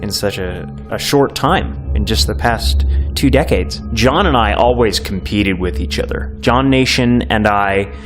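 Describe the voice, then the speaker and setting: A man in his late twenties with a low voice talks over clear guitar music. He is reflective and earnest, reminiscing in a documentary interview style. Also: unhurried, hardly any pauses. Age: late 20s. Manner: nostalgic, earnest. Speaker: audio drama creator. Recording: music playing behind.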